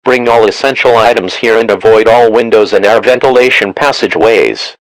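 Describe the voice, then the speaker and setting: A synthetic man's voice delivers emergency alert instructions. The pitch sits on the low side and the delivery is formal and automated. The sound is very dry, with no sense of room. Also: moderate pace, no echo, rough recording. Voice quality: synthetic text-to-speech voice. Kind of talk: scripted emergency broadcast announcement.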